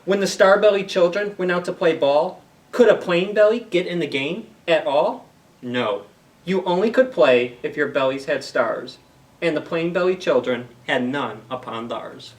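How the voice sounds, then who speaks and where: A man's voice: a little high, normal speed, far from the mic. A man hosting a story time for young children, reading aloud and explaining things simply with questions to the listeners.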